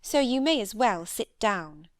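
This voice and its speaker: A woman's voice: mid-range voice, big pitch swings, measured pace, dry recording, clean studio sound. A woman voicing a bold, reckless young lady with a defiant, provoking manner in an audiobook.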